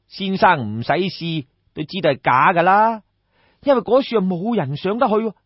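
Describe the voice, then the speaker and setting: A man's voice: high-pitched, breathy edge, no room sound, dull audio, full character voice. A man with a Cantonese accent voicing a brash, crafty young schemer, exclamatory and coaxing, in a storybook tale.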